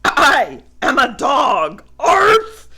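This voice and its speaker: A woman voicing a loud, jolly male farm dog who barks as he laughs. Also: sits high, wildly swooping pitch, drawn-out words, voice pushed up, exaggerated voice.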